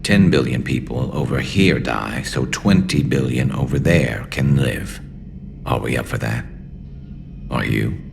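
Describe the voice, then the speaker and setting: An actor playing a grim, weary alien soldier in a video game, with slow, brooding delivery. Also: male voice, background noise, exaggerated voice. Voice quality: very deep, gravelly. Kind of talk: dramatic video game acting.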